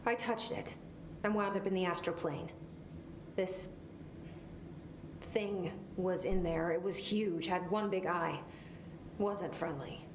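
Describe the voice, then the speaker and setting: A woman's voice: lowish voice, slow, pauses often. A woman playing a terse, composed, serious agency director in a video game. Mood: grave, understated. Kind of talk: video game dialogue acting. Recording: roomy, clearly noisy game audio in stream.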